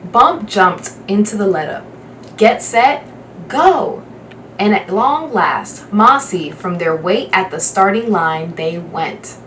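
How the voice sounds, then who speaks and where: A woman reading a children's novel aloud with measured, dramatic phrasing. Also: mid-range voice, a bit bright. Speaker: guest storybook reader. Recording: roomy, distant microphone, faint background noise.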